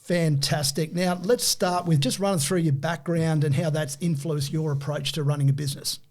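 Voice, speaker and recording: A man's voice: on the high side, fairly even intonation, moderate pace, darkish tone. A man hosting a podcast interview in an upbeat, enthusiastic way. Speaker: podcast host.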